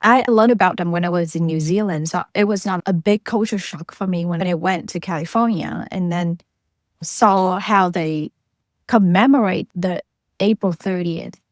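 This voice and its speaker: A woman's voice: on the low side, moderate pace, no echo, clean studio sound. A young Vietnamese woman speaking English with a Vietnamese accent, telling her story as an interview guest in an earnest, reflective way, often adding "like". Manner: earnest, reflective, frequent "like" fillers. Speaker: guest, journalist and researcher.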